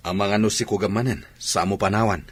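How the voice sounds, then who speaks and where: A man's voice: on the low side, steady tone, a little fast, slightly rough, no room sound. A man playing an earnest, disapproving soldier friend in a comedy radio drama.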